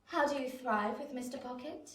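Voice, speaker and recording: A woman's voice: high voice, moderate pace, close to an everyday voice. An actress playing an elegant, aloof young Victorian lady, commanding and coolly teasing in an audio drama. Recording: roomy, echoing sound.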